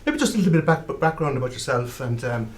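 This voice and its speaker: Fast-talking male interviewer. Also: mid-range voice, sing-song. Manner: friendly, hesitant with frequent fillers. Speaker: host. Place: small studio.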